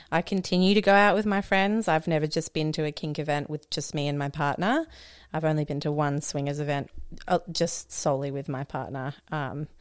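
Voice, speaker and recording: A woman in her early thirties talks casually on a podcast panel. Her voice is lowish and smooth, and the recording is dry and close. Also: dry recording. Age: 30s. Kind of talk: casual chat.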